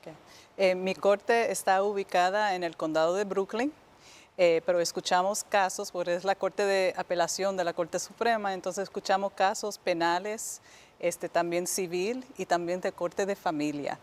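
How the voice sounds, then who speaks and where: A woman's voice: on the low side, fairly even intonation, normal speed. A woman from New York, answering interview questions conversationally as a guest judge, searching for words and slipping in English fillers. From New York, United States.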